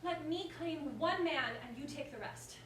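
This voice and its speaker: A woman's voice: sits high, measured pace. A woman playing a witty, proud, passionate common woman in a staged verse comedy, with theatrical delivery. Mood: commanding. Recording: roomy, distant mic, background noise.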